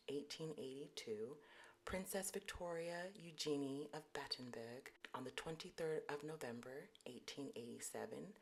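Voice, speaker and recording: Woman, low-voiced, soft whispery read of a scripted royal history vlog. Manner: deliberately low, put-on serious voice.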